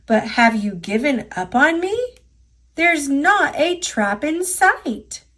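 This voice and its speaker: A woman's voice: high-pitched, big pitch swings, takes it slowly, fairly bright tone, exaggerated voice. An American woman voicing a cocky, playful little gingerbread man from a storybook, chanting boastful taunts in a sing-song manner.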